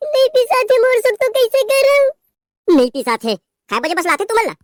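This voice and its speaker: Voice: very high-pitched, big pitch swings, moderate pace, no room sound, clean studio sound. A performer voicing a meek young boy, the younger brother-in-law, who pleads timidly in a Chhattisgarhi accent in a cartoon. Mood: pleading.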